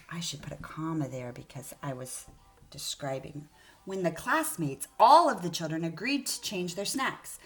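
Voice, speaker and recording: Female elementary teacher, slightly low voice, read-aloud lesson delivery for kids, explanatory and direct. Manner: clear, explanatory, addressing children directly with questions.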